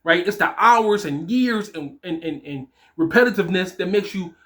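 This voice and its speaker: A man's voice: sits high, normal speed, fairly bright tone. A man in his forties giving an informal, encouraging motivational talk to fathers, speaking emphatically as a coach. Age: 40s.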